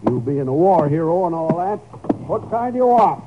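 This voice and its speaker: An actor playing a wisecracking, good-natured small-town diner owner with a Southern accent and a folksy, teasing manner in an old-time radio drama. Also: male voice, on the high side, sing-song, normal speed. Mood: good-natured ribbing. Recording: muffled, noisy old radio recording.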